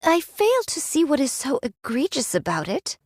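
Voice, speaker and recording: Female android warrior, high voice, proud and weary, archaic formal phrasing, dry close game recording. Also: wildly swooping pitch, normal speed, a little mellow, dry recording. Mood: haughty. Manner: formal, archaic, haughty diction. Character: proud archaic-spoken android swordswoman, drinker, weary and haughty. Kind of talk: dramatic game voice acting.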